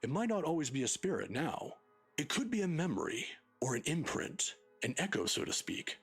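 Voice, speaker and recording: A man's voice: fairly high pitch, big pitch swings, normal speed, creaky, breathy edge, soft background music, exaggerated voice. An actor playing a kindly, patient old male professor in a video game, scholarly, pedantic and given to chuckling. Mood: patient, explaining. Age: older.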